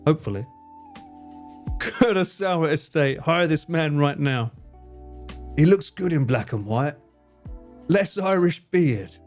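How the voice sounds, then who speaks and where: A man's voice: fairly high pitch, expressive, slow pace, pauses often, faint music behind. A man streaming live, chatting casually with viewers in a joking, theatrical way and swearing freely. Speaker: streamer and cameraman.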